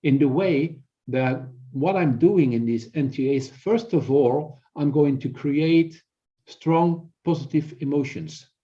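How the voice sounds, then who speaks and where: Male coach as interview guest, middle-aged, Belgian accent, slightly high, dull band-limited sound, halting explanatory delivery. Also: warm tone. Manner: halting, repeats words.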